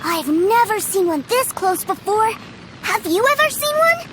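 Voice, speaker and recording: A woman voicing an eager, excitable young child in a video game. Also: very high-pitched, a little slow, heavy background noise, full character voice. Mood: excited. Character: excitable, indignant young child, fond of machines.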